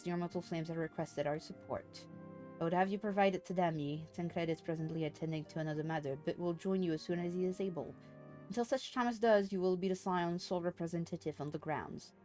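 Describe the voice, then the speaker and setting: A woman's voice: lowish voice, darkish tone, close to an everyday voice. A woman reading aloud as a composed, formal leader in a fantasy game, with courtly, earnest manner. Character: composed, formal, archaic-spoken order leader giving missions.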